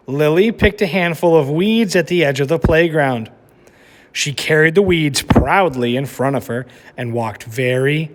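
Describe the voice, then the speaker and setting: Slow, expressive male read-aloud storyteller. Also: on the high side, long reverb tail. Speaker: teacher reading aloud.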